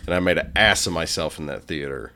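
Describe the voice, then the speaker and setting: A man around thirty co-hosting a podcast, teasing and expressive as he chats casually with a friend. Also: rumbling low, quick pace, a little hiss or hum. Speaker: podcast co-host. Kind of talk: casual chat between friends.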